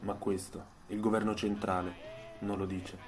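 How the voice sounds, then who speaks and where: Male radio storyteller over soft music. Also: sits low, little pitch movement, moderate pace. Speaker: storyteller and author. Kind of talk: dramatic scripted radio narration. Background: soundtrack music.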